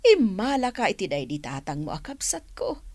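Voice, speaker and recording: An actress playing a frank, curious older auntie in a radio drama, expressive and gossipy. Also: female voice, mid-range voice, moderate pace, no echo.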